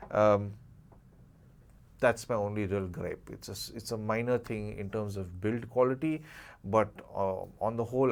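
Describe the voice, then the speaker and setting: A man's voice: mid-range voice, a little mellow. A man giving a casual, unscripted product review, hesitating and rephrasing as he goes and repeating points for emphasis. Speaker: tech reviewer, channel host.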